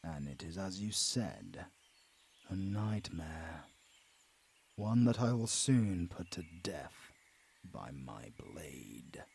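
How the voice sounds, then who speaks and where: A man plays a commanding British dark lord in a fantasy audio drama. He is cold and imperious, drawing words out very slowly in a breathy, husky voice that swings in pitch. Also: big pitch swings, full character voice. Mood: cold menace. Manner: menacing, deliberate, imperious.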